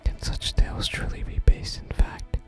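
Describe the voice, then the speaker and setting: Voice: moderate pace, soft background music, lightly acted. An ASMR gamer voicing a wistful wandering male bard from a fantasy game, whispering his florid, archaic lines. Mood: lofty, musing. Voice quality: unvoiced whisper. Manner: archaic, theatrical phrasing.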